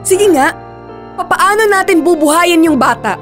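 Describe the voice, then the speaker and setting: A woman's voice: very high voice, animated, normal speed, fairly bright tone, faint music behind. A woman with a Filipino accent playing a desperate, stubborn young woman in a radio drama. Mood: desperate, heated. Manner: insistent, pleading, defensive.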